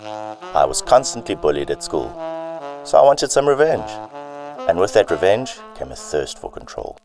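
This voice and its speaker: An actor playing a cold, menacing police chief turned mob boss in a theatrical radio drama style. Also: male voice, low voice, big pitch swings, normal speed, music in the background. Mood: cold, bitter.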